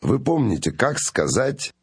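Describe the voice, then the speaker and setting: A man's voice: mid-range voice, very animated, a little slow, slightly rough, no echo, studio quality. A man giving short scripted prompts in a language lesson, with brief commanding cues.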